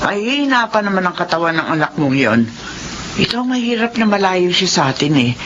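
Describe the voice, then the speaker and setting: A woman's voice: on the low side, very animated, brisk, a bit bright, slight background noise. An actress playing a worried, sorrowful Filipino woman in a radio melodrama, with an emotional, pleading delivery. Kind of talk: melodramatic radio drama acting. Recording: muffled lo-fi drama audio, music behind.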